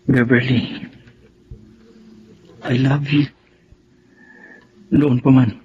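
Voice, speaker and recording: A man's voice: mid-range voice, flat delivery, very slow, noisy background. Casting: sincere young Filipino leading man, earnest radio-drama delivery, mid-range voice, slightly muffled recording. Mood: tender, nervous. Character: sincere, devoted young male suitor.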